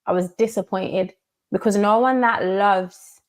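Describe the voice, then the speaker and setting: A woman from the United Kingdom giving commentary in a measured, emphatic way, repeating her key phrases. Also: medium pitch. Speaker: commentator in a played video. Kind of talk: monologue commentary.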